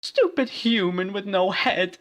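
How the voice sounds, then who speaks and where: A gloating, vengeful villainess in a parody story, played by a man. The voice is high and melodramatic as it delivers threats. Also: animated, measured pace, fairly bright tone. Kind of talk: parody fan fiction villain voice.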